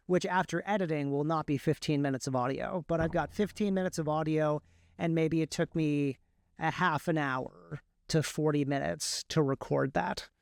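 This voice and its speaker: Higher-pitched man, clear and dry. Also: dry recording. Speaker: guest, indie author and audiobook narrator. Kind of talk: casual interview talk, explaining a process.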